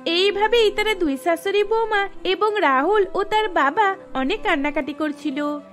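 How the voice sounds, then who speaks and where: A woman narrating a cartoon story in an even storytelling manner. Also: extremely high pitch, moderate pace, faint music behind.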